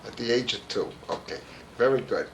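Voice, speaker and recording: A man's voice: mid-range voice, brisk, vocal fry, a bit bright, a little hiss or hum, poor audio. A middle-aged man interviewing his father-in-law at home, teasing him and restarting his questions. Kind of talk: home video family interview. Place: home in a retirement community.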